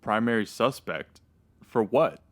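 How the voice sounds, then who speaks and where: A man playing a hungover, slow-witted murder suspect in a comedy radio drama, sluggish and laconic. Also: lowish voice, lively intonation, a bit bright. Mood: groggy, defensive.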